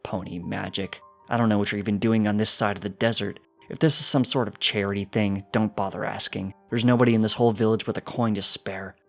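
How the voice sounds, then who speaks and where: A blunt fantasy village father acted by a male reader from a storybook. He talks dismissively in a fairly low voice, with faint music behind. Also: fairly even intonation, fairly quick, gravelly, few pauses. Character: gruff, blunt village husband, goat or minotaur father.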